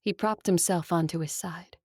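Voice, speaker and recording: Actress doing an ageless supernatural woman, husky n slow, composed and intimate, audio drama, dry close sound. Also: fairly low pitch, steady tone, darkish tone, no room sound, natural voice. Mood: wry, reflective. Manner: measured, intimate, teasing delivery. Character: ancient shapeshifting supernatural woman, composed, tender, teasing. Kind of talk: audio drama acting with first-person narration.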